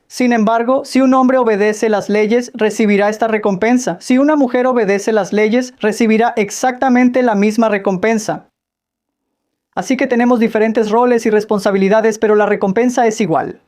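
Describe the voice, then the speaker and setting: A woman leads a question-and-answer lecture with her voice at a middle pitch. She explains points patiently and turns questions back to her listeners. Now and then she drops a light joke. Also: darkish tone. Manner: patient, explanatory, quizzes the audience with light jokes. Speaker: speaker answering audience questions on Islam. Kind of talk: Q&A lecture in Spanish voice-over.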